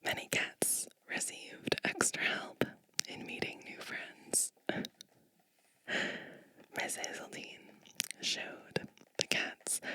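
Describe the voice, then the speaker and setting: A woman reading a storybook in a gentle, breathy whisper for ASMR, unhurried, with short phrases and chatty asides. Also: rough recording.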